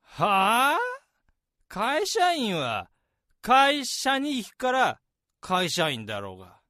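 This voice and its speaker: A young man acting a hearty, easygoing salaryman mentor with casual, rough speech in a radio drama. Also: sits high, huge pitch swings, slow pace, sharp tone, dry recording, clean studio sound, full character voice. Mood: drunk, grumbling. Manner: casual, rough, blokey senior-to-junior speech. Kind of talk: radio drama acting with first-person narration. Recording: music behind the voice.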